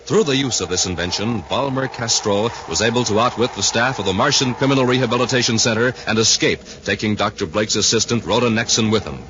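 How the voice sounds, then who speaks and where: An actor as a formal, dramatic announcer, reading scripted narration for a 1950s radio adventure serial. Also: male voice, fairly low pitch, fast talker, few pauses, natural voice. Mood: dramatic, expository. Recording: noisy, muffled 1950s radio recording.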